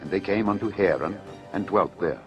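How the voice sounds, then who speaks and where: A man with a deep, low voice narrates scripture as for an audio Bible. His delivery is solemn, formal and measured, like a declamation. The sound is slightly dull and lo-fi, with music playing faintly behind him.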